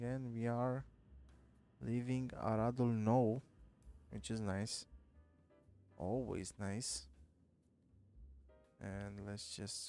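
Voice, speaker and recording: Man, nasal stuffy voice from a cold, slow unhurried talk, lowish pitch, rambling streamer chat, soft music behind. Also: gravelly. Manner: rambling, thinking aloud with frequent fillers. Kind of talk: casual live-stream chat while gaming.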